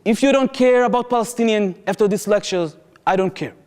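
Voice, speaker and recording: Higher-voiced Israeli man in echoing hall. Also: brisk, darkish tone, clean tone. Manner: earnest, impassioned, urgent appeals to the audience. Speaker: activist speaker. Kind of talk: public talk, personal storytelling. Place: lecture hall.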